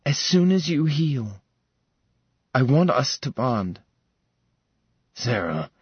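A male narrator voicing a spirited young woman, lifting his voice high and speaking eagerly and insistently. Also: very animated, slow pace, breathy edge, no echo, lo-fi sound, heavily acted.

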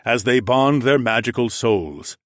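A man's voice: medium pitch, lively intonation, measured pace, fairly bright tone, no room sound, studio quality. A man voicing a stately, formal king in a fantasy audiobook. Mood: solemn, proclaiming. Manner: formal, solemn. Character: stately male king, ceremonial, kindly dismissive.

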